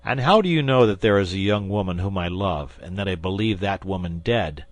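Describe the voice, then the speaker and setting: A man's voice: sits low, lively intonation, brisk, dry recording. A man voicing a fierce young guardsman who questions and threatens a wounded enemy. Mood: stern. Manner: commanding, stern.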